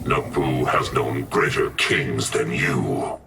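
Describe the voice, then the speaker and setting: A man's voice: very deep voice, slow, gravelly, over loud music, heavily acted. A man playing an ominous, ancient prophetic sorcerer in a video game, with a solemn, portentous delivery.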